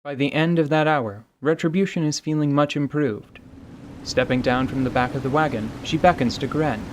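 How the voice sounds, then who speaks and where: Male story narrator over clear rain ambience. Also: a little high, moderate pace, slight background noise. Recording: steady rain and stream ambience behind.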